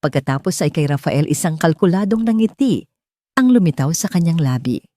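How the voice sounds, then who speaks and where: Female story narrator: low register, soft dark tone, dry recording, scripted dramatic delivery. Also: lively intonation, brisk, no room sound. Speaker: audiobook narrator.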